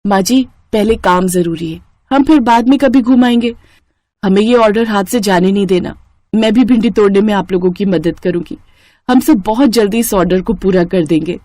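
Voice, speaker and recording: A woman acts a dutiful young village bride from a cartoon morality tale. Her medium-pitched voice is polite, sweet and earnest. The recording is very dry. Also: normal speed, dark tone, no echo, natural voice. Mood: eager, dutiful. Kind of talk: cartoon moral story acting.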